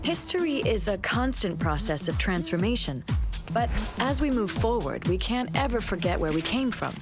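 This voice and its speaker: An actress as proud, expressive racing heritage keeper. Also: female voice, medium pitch, close to an everyday voice. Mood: proud, reflective. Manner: measured, formal, reverent. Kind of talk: video game cutscene monologue. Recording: loud music behind the voice.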